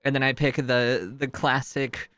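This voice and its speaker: A man with a stuffed-up nose rambles off the cuff, stopping himself mid-thought and leaping up in pitch when he gets unsure. His voice comes through smooth and clean, recorded close and dry with no room sound. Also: level tone, a little slow, fairly bright tone, dry recording. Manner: rambling, self-interrupting, chuckling, jumps in pitch. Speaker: streamer. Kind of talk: casual solo rambling.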